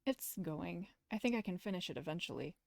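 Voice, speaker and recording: A woman's voice: on the low side, expressive, a little fast, gravelly, dry recording, clean studio sound. A woman reading the lines of an awkward, considerate young man in a visual novel, plain and understated.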